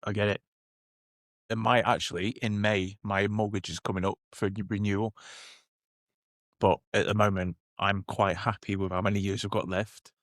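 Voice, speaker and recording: A man's voice: fairly low pitch, level tone, normal speed, a bit bright, no echo, clean studio sound. A man, co-hosting a casual chat and often repeating and correcting himself as he goes. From northern England. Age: late 30s. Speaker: podcast co-host.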